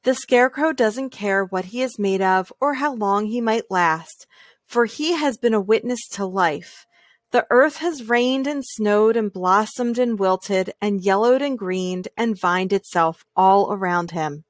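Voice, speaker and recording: A woman reading a children's picture book aloud in a calm, gentle, measured way, pausing between phrases. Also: medium pitch, dry recording, clean studio sound. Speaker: read-aloud storyteller.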